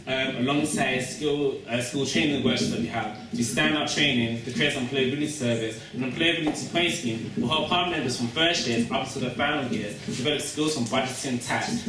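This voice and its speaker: Young man speaking in an echoey, noisy room. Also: mid-range voice. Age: young adult. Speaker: student union candidate. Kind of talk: hustings campaign speech. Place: large echoey room at a public hustings. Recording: roomy, echoey, distant mic, noisy.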